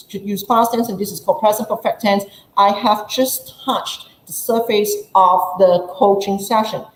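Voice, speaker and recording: A woman's voice: medium pitch, a little mellow, reverberant, poor audio. A woman English teacher from Malaysia with a Malaysian English accent, giving a chatty workshop lesson and playing out her students' questions.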